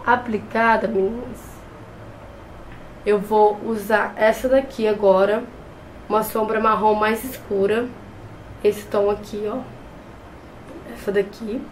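A woman's voice: mid-range voice, steady tone, takes it slowly, pauses often, a little hiss or hum, low-quality recording. Young woman with a cold, chatty. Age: young adult. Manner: chatty, repeats words, hesitant restarts. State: has a cold. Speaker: beauty vlogger. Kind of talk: makeup tutorial talk. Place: small bedroom with shelves and a clothes rack. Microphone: camera close in front.

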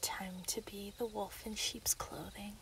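A woman's voice: mid-range voice, level tone, measured pace, gravelly, noisy background. A woman voicing a menacing, taunting female werewolf in an ASMR roleplay audio drama, often dropping toward a whisper. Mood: sinister glee. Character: gleeful, menacing female yandere werewolf villain.

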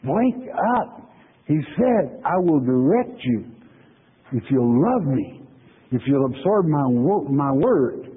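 A husky male preacher works through scripture in an easygoing, chatty way, lingering over each verse before he breaks it down. The sound is thin and phone-like. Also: a little high, very animated. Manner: folksy, conversational preaching with frequent "okay?" check-ins; reads verses slowly, then explains them plainly. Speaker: pastor. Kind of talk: sermon lecture on scripture.

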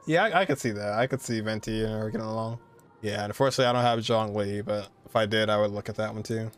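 A man's voice: on the low side, fast talker. A man in his twenties with an American accent, giving casual, conversational reaction commentary as a gaming streamer. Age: 20s. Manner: conversational, repeats "you know" and "like". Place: indoor room, streaming setup.

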